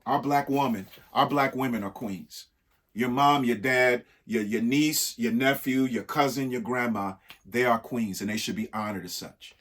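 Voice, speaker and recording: A man reading a children's picture book aloud in a theatrical, emphatic way, with dramatic pauses and asides to young listeners. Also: mid-range voice, a bit bright.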